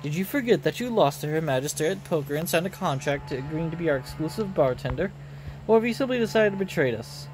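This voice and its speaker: A man's voice: on the high side, animated, quick pace, darkish tone, natural voice, rough recording. Man as a stern knight gatekeeper, game character, formal, curt, music behind.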